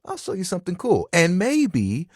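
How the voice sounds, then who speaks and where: Bashful young towel guy, dating game character, punning and rambling, husky edge, dry close recording. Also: high voice, wildly swooping pitch, moderate pace, dry recording, exaggerated voice. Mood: earnest, awkward. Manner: rambling, self-conscious, casual, punning. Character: talking bath towel, bashful, eager, laid-back young guy. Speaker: video game voice actor.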